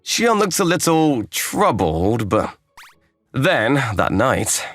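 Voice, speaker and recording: A man playing a calm, kindly young programmer and mentor in an anime-style manga dub, reassuring and easygoing. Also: mid-range voice, slow pace, faint music behind, exaggerated voice. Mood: narrating, mildly puzzled.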